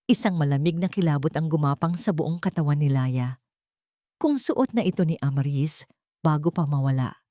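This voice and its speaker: A Filipino woman narrates a scripted love story with measured, dramatic delivery. Her voice sits somewhat low, and the recording is very dry and close, with hardly any room sound. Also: mellow, dry recording.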